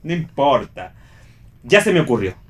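A man's voice: mid-range voice, sing-song, normal speed, lightly acted. A man with an Argentine accent playing a contrary, sardonic, restless character in a read radio play. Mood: dry. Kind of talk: read radio theatre dialogue.

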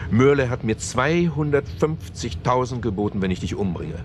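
An actor playing a man in a German radio play who haltingly retells a crime novel, often correcting himself. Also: medium pitch, expressive, slight background noise, close to an everyday voice. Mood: absorbed storytelling. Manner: halting, self-correcting storyteller.

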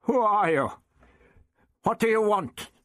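A man voicing a grave, protective old doctor with emphatic, halting exclamations in a science fiction audiobook. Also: sits high, expressive, fairly bright tone, dry recording, clean studio sound, pitched above the performer's own voice, heavily acted. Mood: demanding.

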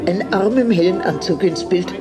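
An actress playing a nostalgic woman film lover, narrating in in a measured, deliberate way for a radio drama. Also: fairly low pitch, close to an everyday voice. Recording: music behind the voice.

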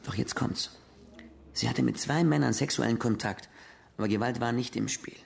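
An actor playing a gravelly, matter-of-fact police colleague giving an explanatory briefing in a German radio crime drama. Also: male voice, mid-range voice, normal speed. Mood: factual, intent.

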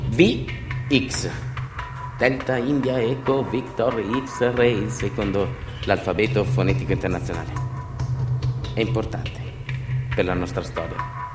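A man performing a theatrical documentary monologue, moving between hushed, deliberate phrases with long pauses and emphatic delivery. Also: mid-range voice. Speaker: stage actor and storyteller. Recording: echoey room with loud music.

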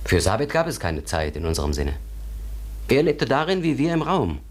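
An actor playing a philosophical, thoughtful companion in a German radio drama, explaining things in a deliberate, contemplative way. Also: male voice, mid-range voice, very animated. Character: philosophical man pondering another world, thoughtful companion.

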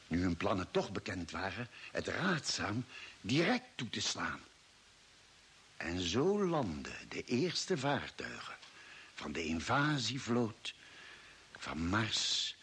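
An actor playing a grave expedition member, narrating a space voyage in a radio play with measured, solemn storytelling. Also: male voice, on the low side, fairly bright tone, husky, full character voice. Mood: solemn, ominous. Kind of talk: scripted dramatic narration.

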